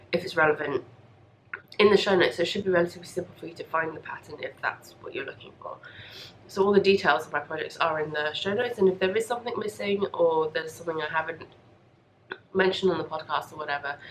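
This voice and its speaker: A young woman with a slightly low voice hosts a chatty podcast. She sounds bunged up from a cold and talks in a rambling, self-deprecating way. There is clear background noise in the recording. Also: fairly bright tone, low-quality recording. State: congested and coughy from a lingering cold. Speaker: podcast host. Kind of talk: casual chatty video podcast. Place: home craft room.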